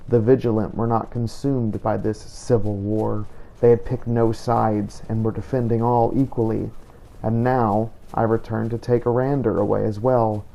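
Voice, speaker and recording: A man with a low, mellow voice reads a solemn in-character adventure journal. His delivery is very even, with a creaky edge, as a grave monster hunter weighing his duty. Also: poor audio. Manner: solemn, measured storytelling. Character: grave, duty-torn male vampire hunter and Dragonborn. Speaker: game player narrating a roleplay playthrough. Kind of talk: in-character scripted journal narration.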